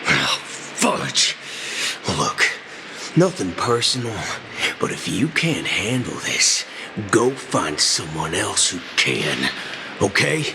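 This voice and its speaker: A man's voice: deep voice, sing-song, slow, background noise, full character voice. An actor voicing an impatient young male adventurer in a game cutscene, sarcastic and pushy. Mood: irritated. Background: music.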